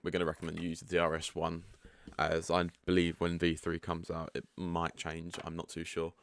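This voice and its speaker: A man with a croaky, just-woken-up voice giving a relaxed, chatty walkthrough of a software setup. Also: low-pitched, brisk. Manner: relaxed and chatty, frank asides. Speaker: product developer giving a setup tutorial. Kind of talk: casual screen-share walkthrough.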